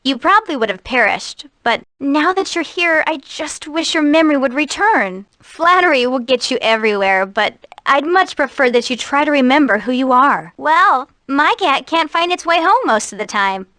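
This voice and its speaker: A woman's voice: high voice, expressive, normal speed, hardly any pauses, bright, forceful tone, dry recording. An actress voicing an earnest, caring young woman, a dutiful daughter, in a video game. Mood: teasing, curt.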